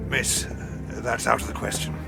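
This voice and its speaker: An actor playing a resolute colonel in a period audio drama, speaking in a formal, clipped, authoritative way. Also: male voice, normal speed, over music, natural voice. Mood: grave, firm. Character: resolute, commanding male colonel leading an armed hunt.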